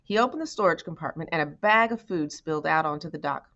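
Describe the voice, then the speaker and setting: A woman narrates a storybook in a read-aloud style. Her voice sits a little low for a woman, and the recording is slightly dull and lo-fi. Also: animated, quick pace, fairly bright tone. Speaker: audiobook reader.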